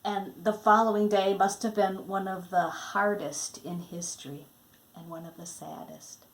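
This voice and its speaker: A woman reader voicing a gentle, grave woman storyteller from a storybook, soft and patient. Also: medium pitch, steady tone, unhurried, lightly acted.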